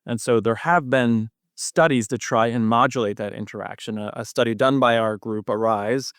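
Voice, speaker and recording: A man's voice: mid-range voice, moderate pace, smooth, no room sound, clean studio sound. A man speaking as an expert guest in an interview, explaining things conversationally with technical jargon. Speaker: guest physician researcher.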